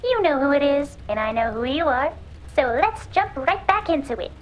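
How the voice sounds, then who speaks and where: A woman's voice: sits high, very animated, moderate pace, very noisy. A woman playing a perky, gossipy host delivering a punchy news broadcast in an audio drama. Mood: gleeful.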